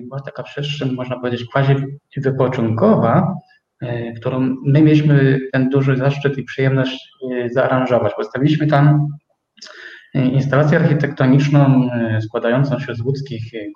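A man's voice: medium pitch, darkish tone. Man in an echoey room, telling a story. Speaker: interview guest, business co-owner. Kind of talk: conversational storytelling. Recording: echoey room, slightly dull sound.

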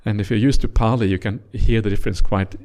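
A middle-aged man from Norway, a native Norwegian speaker, giving a gentle, teasing dharma talk as a monk leading a retreat, with many uhs and yeahs. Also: lowish voice, steady tone, fast talker.

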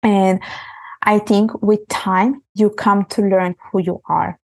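A woman's voice: mid-range voice, steady tone, takes it slowly, creaky. A young woman from Italy, a podcast guest talking earnestly and reflectively, often restarting her sentences. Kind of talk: casual interview talk.

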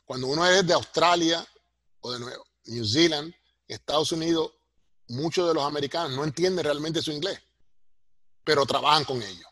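Man, Puerto Rican accent, husky, close dry recording, professorial interview guest, conversational explaining. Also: on the high side, normal speed, pauses often, sharp tone, dry recording. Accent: Puerto Rican Spanish. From Puerto Rico. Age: middle-aged or older. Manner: professorial, punctuates points with tag questions.

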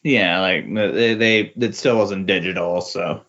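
A man chatting casually about comics on a podcast, speaking in halting, tentative phrases. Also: low-pitched, a bit bright, muffled recording.

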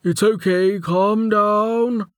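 A man's voice: high-pitched, steady tone, drawn-out words, no room sound, clean studio sound, voice pushed up. A man voicing an anxious, insistent male servant in a fantasy audiobook, with a worried, urgent manner. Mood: soothing. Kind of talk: audiobook character acting.

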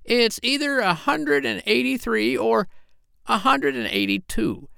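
A man voicing a very old, opinionated female parrot in a children's storybook, haughty and chatty in a squawky falsetto. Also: animated, a little slow, creaky, sharp tone, clean tone, dry recording, clean studio sound, heavily acted. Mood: opinionated, musing.

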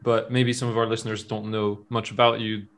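A man hosting a casual podcast interview. He talks haltingly, laughs often and restarts his sentences a lot. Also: fairly low pitch, flat delivery, a bit bright. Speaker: podcast host.

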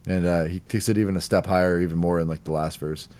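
A man co-hosting a music podcast, presenting a countdown of songs in casual chat, relaxed and laughing now and then. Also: sits low, quick pace. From Canada. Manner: relaxed, laughing, slight stumbles over words.

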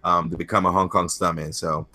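A man with a very low, bright, forceful voice spins his anecdotes in a relaxed interview. He laughs between stories and leans on filler words as he goes. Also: a little fast. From United States. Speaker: stuntman guest. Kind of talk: casual storytelling interview.

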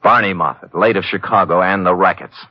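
An American actor playing a wry, tough private detective in a deadpan 1940s radio drama style. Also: male voice, sits low, fairly quick, dry recording. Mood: cool, needling. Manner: deadpan, hard-boiled. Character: wry, tough, unflappable private detective narrating his case. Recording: muffled old radio recording with music.

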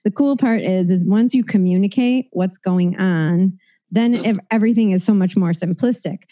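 A woman's voice: mid-range voice, moderate pace, dark tone. A woman co-hosting a casual podcast chat, telling a story with lots of laughter and filler words. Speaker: podcast co-host.

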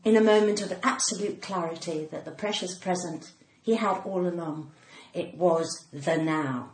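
A woman's voice: on the low side, moderate pace, darkish tone. A woman in her sixties with a cut-glass English accent, chatting casually and effusively as a comedian guest, with theatrical self-mockery. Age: 60s. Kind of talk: casual interview chat. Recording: roomy echo, background noise, clicks.

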